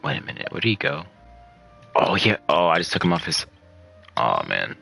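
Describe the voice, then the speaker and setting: Deep, creaky-voiced man streaming a game. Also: wildly swooping pitch, moderate pace, bright, forceful tone. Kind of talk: casual gameplay commentary. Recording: game music behind the voice. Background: video game music.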